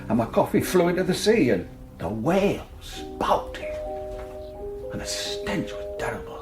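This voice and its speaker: An earnest settler, animated and yearning. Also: male voice, a little high, a little slow, reverberant, music in the background. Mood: nostalgic, vivid. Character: earnest, lonely backwoods settler man, yearning and restless. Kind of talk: audio drama monologue.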